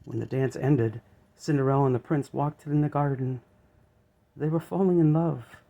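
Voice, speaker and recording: A man reading a children's story aloud as an expressive narrator. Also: medium pitch, moderate pace, creaky, poor audio.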